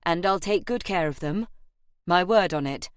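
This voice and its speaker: A woman's voice: on the low side, moderate pace, no echo, clean studio sound, natural voice. A woman voicing a reserved, decisive man with terse, resolute speech in an audiobook.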